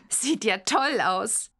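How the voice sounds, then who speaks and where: A cheerful young witch girl from a cartoon game. Her voice is high and swings with wide-eyed excitement. She takes her time and sounds eager. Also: full character voice. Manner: exclamatory, wide-eyed, bubbly.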